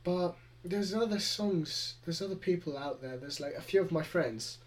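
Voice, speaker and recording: A man's voice: fairly high pitch, normal speed. A young man with a Greek accent, co-hosting a music podcast in casual, rambling chat. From Greece. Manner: chatty, rambling, frequent laughs. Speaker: podcast co-host.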